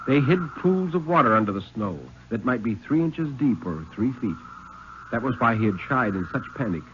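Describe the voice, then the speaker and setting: Expressive male storyteller on muffled old radio. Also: mid-range voice, a little hiss or hum. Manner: suspenseful, measured storytelling with dramatic pauses and emphasis. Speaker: narrator reading a short story aloud. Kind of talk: 1950s radio dramatic story reading. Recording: muffled, lo-fi 1950s radio recording.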